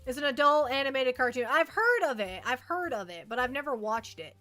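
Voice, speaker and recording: A woman's voice: high-pitched, animated, brisk, bright, forceful tone, faint music behind. A woman chatting casually to viewers as a livestream host, rambling playfully and often repeating her phrases.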